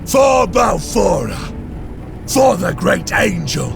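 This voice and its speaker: An actor playing a stern, zealous space marine commander in a video game, speaking in grand, solemn oratory. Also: male voice, sits high, big pitch swings, takes it slowly, creaky, heavily acted. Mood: furious, rallying. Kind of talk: dramatic video game cinematic acting. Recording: dramatic music behind the voice.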